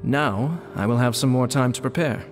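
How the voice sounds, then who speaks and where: An actor voicing a courteous, composed young nobleman in a video game, speaking in a formal, measured way. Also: mid-range voice, long reverb tail, over music, close to an everyday voice. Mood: polite, calm.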